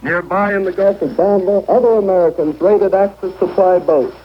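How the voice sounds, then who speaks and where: A high-pitched man delivers a scripted war report in 1940s radio news style. His voice comes through an old muffled shortwave broadcast with faint noise behind it. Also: steady tone, moderate pace.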